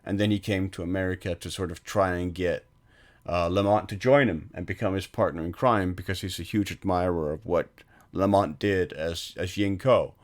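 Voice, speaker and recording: Male podcast co-host, lower voice, halting and soft-spoken in casual chat. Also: steady tone. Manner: hesitant, many fillers, trailing off quietly.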